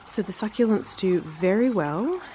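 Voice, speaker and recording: A woman's voice: medium pitch, lively intonation, normal speed, mellow, slight background noise, poor audio. A woman with a slightly nasal, congested voice, getting over an illness, giving a chatty, casual garden tour as a vlog host. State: recovering from illness, sinusy. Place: outdoors in a garden.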